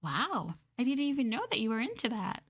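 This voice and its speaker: A woman's voice: fairly high pitch, big pitch swings, fairly quick, a bit bright, slightly rough, voice pushed up, exaggerated voice. A woman voicing an affectionate, playful slime girl girlfriend in an intimate, teasing ASMR roleplay. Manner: intimate, doting, coy. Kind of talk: ASMR roleplay script reading.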